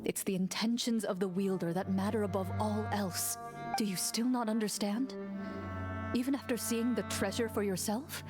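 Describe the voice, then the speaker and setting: A woman's voice: mid-range voice, steady tone, lightly acted. A woman voicing a composed, principled knight. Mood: firm. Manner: measured, composed. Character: calm composed female knight, principled and dry-witted. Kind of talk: game voice acting. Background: game music.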